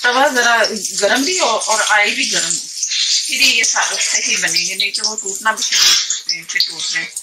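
A woman's voice: mid-range voice, moderate pace, very noisy. Chatty woman cooking at home. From Pakistan. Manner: chatty, explains as she works, frequent restarts. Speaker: cooking channel host. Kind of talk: casual cooking demonstration. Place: home kitchen. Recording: clear kitchen clatter behind. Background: pots, pans and clicks.

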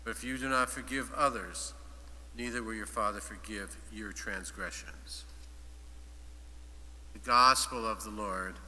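A man reading the gospel at Mass in a steady, formal voice. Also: lowish voice, a little slow, pauses often. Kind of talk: liturgical reading. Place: large church. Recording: long church reverb, faint hum.

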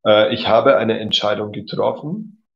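A man's voice: lowish voice, unhurried, creaky. A man teaching German in a live stream, reading out viewers' messages and praising them often. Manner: encouraging, says "genau" and "cool" often. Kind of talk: live-stream language lesson reading chat. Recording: dull lo-fi sound.

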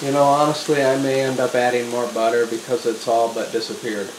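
A man's voice: medium pitch, flat delivery, brisk. A man casually narrating his meal prep at home, explaining each step as he cooks at the stove. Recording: echoey room with clear kitchen noise. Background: sizzling pan and stirring.

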